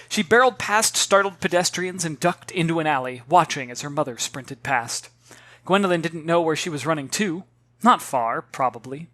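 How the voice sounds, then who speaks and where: An expressive storybook narrator with a mid-pitched voice and very lively intonation. The reading is theatrical and speaks straight to the listener. Music runs beneath the dry recording. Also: no room sound. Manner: theatrical, direct address to listeners. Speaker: author reading own children's novel.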